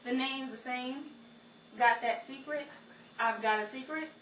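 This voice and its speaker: A woman narrates from a script in a fairly high voice. She reads slowly, recorded in a roomy space a little way from the microphone. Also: a bit bright. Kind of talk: scripted documentary-style narration. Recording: echoey room, distant mic, faint noise.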